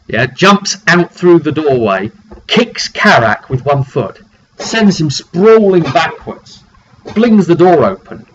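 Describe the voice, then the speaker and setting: A man giving an informal, dramatic recap of a tabletop roleplaying session as game master, telling it like an animated story. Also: a little high. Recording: dull lo-fi mic with background noise.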